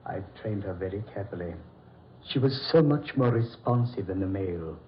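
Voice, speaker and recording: An actor in an old-time radio horror play as a proud, coldly polite mad doctor, speaking in a deliberate, theatrical way. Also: male voice, low-pitched, animated, a little hiss or hum. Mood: commanding. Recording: muffled vintage radio drama recording.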